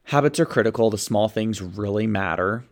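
A young man hosts his own motivational podcast, sounding stuffed up and a bit sniffly from a cold. His voice sits on the low side, and he talks with eager, upbeat energy as he coaches listeners on good habits. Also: normal speed, gravelly. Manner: upbeat, enthusiastic. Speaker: podcast host and sales coach.

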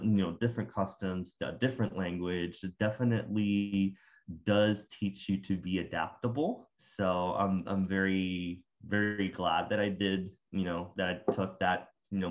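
A man's voice: low voice, muffled recording. A young man from Rico, answering interview questions as a guest, hesitant and full of fillers. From Puerto Rico. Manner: hesitant, many fillers and restarts. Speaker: guest, graduate student.

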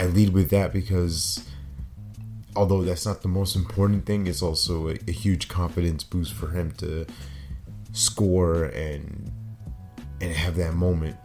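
A middle-aged man with a raspy, slightly hoarse voice, hosting a personal motivational podcast and speaking earnestly in short, paused phrases. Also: sits low, little pitch movement, darkish tone, faint music behind. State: hoarse after a concert. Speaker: podcast host.

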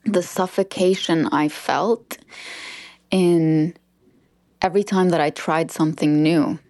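A woman's voice: low voice, steady tone, takes it slowly, a little mellow. A woman guest on a podcast, speaking earnestly and heartfelt in a reflective conversation.

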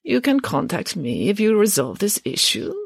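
A woman voicing a very elderly male professor who speaks slowly and deliberately with a quavering, dignified voice. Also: medium pitch, big pitch swings, gravelly, no room sound, clean studio sound, pitched above the performer's own voice. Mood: weary, dignified.

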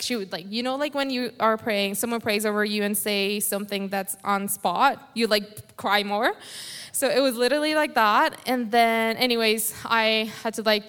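A woman's voice: on the high side, a bit bright, clear, resonant tone, in a large hall. A woman from Colombia with a Colombian Spanish accent, a native Spanish speaker, telling a church testimony in a chatty way full of "like" fillers. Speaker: church member giving testimony.

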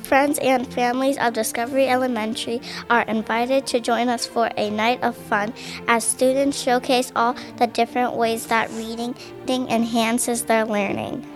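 A woman's voice: fairly high pitch, steady tone, normal speed, faint music behind. A woman reading out a scripted invitation to a school event.

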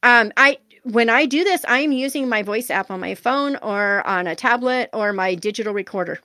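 A woman's voice: a little high, normal speed, bright, forceful tone, dry recording. Nasal-voiced woman author, candid. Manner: candid, self-deprecating, chatty. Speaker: author guest. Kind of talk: casual interview answers.

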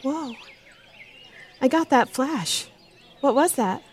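A woman's voice: sits high, expressive, slow pace, darkish tone, slight background noise. A woman acting in an audio drama as a tender, shaken young woman, heartfelt and emotional with her dear friend. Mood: honest, tender.

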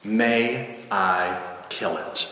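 Storybook angel, acted by a man: stern, unhurried, relentless, measured delivery with long hall reverb. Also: on the low side, bright, forceful tone, heavily acted. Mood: insistent, unhurried. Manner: slow, measured, terse pronouncements. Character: solemn, relentless angel with burning hands, calmly insistent.

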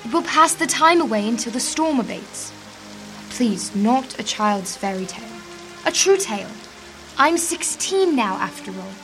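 A woman's voice: on the high side, animated, measured pace, a bit bright. A woman playing a sixteen-year-old girl in a radio drama, yearning and eager, with florid old-fashioned phrasing. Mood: eager, curious. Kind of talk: theatrical radio drama acting. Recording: music and rain behind the voice.

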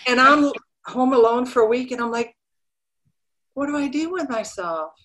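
A middle-aged woman, a podcast guest, chatting casually and telling animated stories with quoted voices. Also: high voice, normal speed, a crowd in the background. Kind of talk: casual interview chat.

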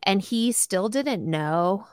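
A woman's voice: medium pitch, expressive, takes it slowly, darkish tone, clear, resonant tone, no echo, studio quality. Attentive woman host asking clarifying questions. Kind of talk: interview conversation.

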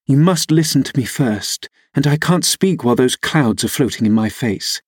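A man's voice: medium pitch, expressive, moderate pace, slightly rough, no room sound, clean studio sound, pitched above the performer's own voice. A man voicing a willful young woman who pleads insistently with her cousin in an audiobook novel.

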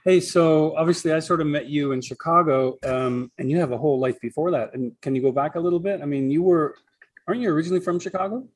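A man's voice: fairly high pitch, fast, slightly rough. A man co-hosting a casual chat, asking a guest about his past. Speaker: podcast co-host.

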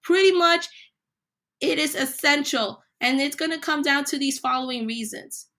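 A woman hosting a solo podcast, a little hoarse from a cold, reading numbered points with emphasis. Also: high voice, moderate pace, sharp tone. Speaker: podcast host.

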